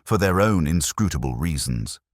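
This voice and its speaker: An older man playing a melancholy, cerebral detective, narrating a scripted mystery in a measured, reflective way. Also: rumbling low, expressive, dry recording, studio quality. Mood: uneasy, reflective. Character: melancholy, cerebral, brooding male English police detective.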